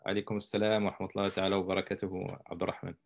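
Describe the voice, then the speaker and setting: A man's voice: sits low, level tone, very fast, gravelly. A middle-aged man, a doctor talking as a guest in a casual interview, hesitant with many fillers and repeated words. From France. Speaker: guest, intensive care doctor.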